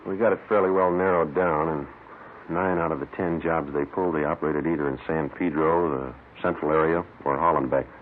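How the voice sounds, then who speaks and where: Very deep, deadpan radio police sergeant. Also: male voice, a little fast, slight background noise. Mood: businesslike. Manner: deadpan, terse. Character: Los Angeles police detective sergeant, businesslike and matter-of-fact. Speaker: narrator and lead detective. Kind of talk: 1950s radio police drama, clipped documentary narration. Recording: muffled old radio recording, loud music.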